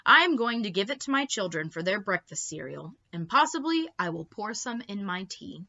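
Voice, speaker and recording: A woman's voice: mid-range voice, very animated, moderate pace, bright, forceful tone, highs cut off. A woman voicing an earnest, stubborn father in an emphatic, matter-of-fact storybook manner. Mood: insistent. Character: earnest, stubborn father telling a tall tale.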